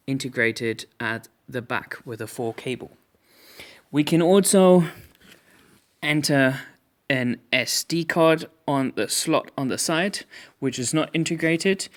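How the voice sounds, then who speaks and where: A man narrating an unboxing product review in a measured, explanatory way, phrase by phrase. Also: mid-range voice. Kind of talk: unboxing review narration over hand-held footage.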